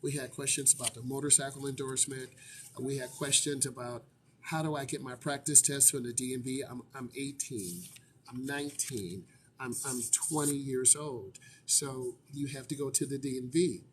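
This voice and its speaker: A man's voice: mid-range voice, normal speed, a little hiss or hum. A man, a driving instructor, casually answering viewer questions in a live stream, chatty with frequent fillers.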